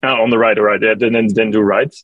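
A man representing an app company, explaining it in a halting way with lots of fillers and restarts. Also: lowish voice, fairly even intonation, dry recording, narrow, tinny audio. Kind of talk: casual call-in Q&A.

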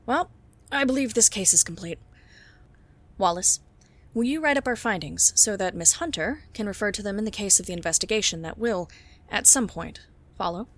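A woman playing a sharp-eyed female private detective in a noir audio drama, speaking in a crisp, deadpan way. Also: medium pitch, normal speed, close to an everyday voice. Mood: cool. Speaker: detective and narrator.

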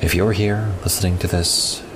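Audio drama monologue, male actor: resigned, sardonic man, rock-bottom pitch, rumbling noise underneath. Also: little pitch movement, normal speed, lightly acted. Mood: bitter resignation. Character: resigned, bitter, fatalistic man addressing his listener. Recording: noisy track with low rumble.

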